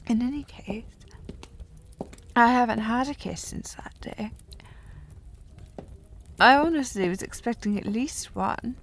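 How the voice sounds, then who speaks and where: Woman playing a haughty, tipsy young noble lady. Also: fairly high pitch. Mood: wistful, tipsy. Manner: haughty, prim formal diction, slightly tipsy. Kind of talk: historical romance audio roleplay, intimate one-sided dialogue.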